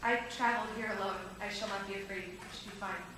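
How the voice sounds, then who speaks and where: A young woman playing a spirited, excitable aspiring writer in a stage musical, projecting her lines. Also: medium pitch, fast, natural voice. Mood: grave, shaken. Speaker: student actor in a school production. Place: theater stage, distant microphone. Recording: echoey hall from far off, noisy.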